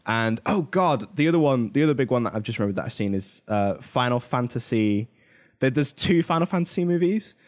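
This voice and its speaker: A man co-hosting a podcast in casual chat about films, explaining things in a halting, rambling way full of tangents. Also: medium pitch, lively intonation. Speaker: podcast co-host.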